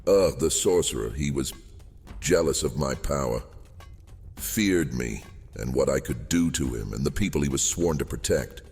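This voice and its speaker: A man playing an old, fading captive djinn in a fantasy audio drama, courteous and wheedling, with silky theatrical delivery and hesitant pauses. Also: very deep voice, lively intonation, darkish tone. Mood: pleading. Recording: long cavernous reverb, music underneath. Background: music.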